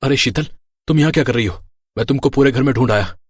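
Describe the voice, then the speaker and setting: An actor playing a placating, secretive young husband in a horror storybook, speaking in a coaxing, explanatory way. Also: male voice, mid-range voice, fast, breathy edge, no echo, lightly acted. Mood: puzzled. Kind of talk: acted horror storybook dialogue in Hindi.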